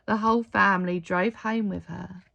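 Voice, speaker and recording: A woman reading a picture book aloud in an expressive storytelling style. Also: medium pitch, normal speed, creaky, highs cut off. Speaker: story reader.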